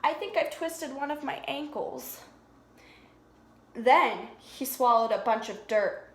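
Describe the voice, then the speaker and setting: A high-pitched woman reads a storybook to small children at a slow pace. She speaks like a preschool teacher, explaining things simply and prompting with questions. The room around her sounds echoey. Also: animated. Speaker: preschool teacher reading a picture book aloud. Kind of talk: storybook read-aloud with questions to young children.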